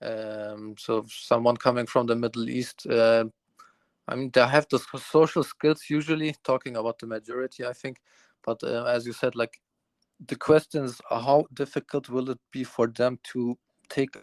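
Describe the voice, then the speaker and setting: A male podcast host asks loose, rambling questions full of fillers, sometimes rushing a phrase out in a quick burst. His voice sits at an ordinary pitch and sounds close and dry, with no room around it. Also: fairly even intonation, no room sound. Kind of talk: casual interview chat.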